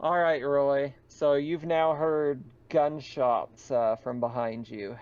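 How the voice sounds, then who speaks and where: A man's voice: medium pitch, steady tone, slow, a little mellow, clear, resonant tone, highs cut off. A man casually narrating a tabletop roleplaying game as the game master.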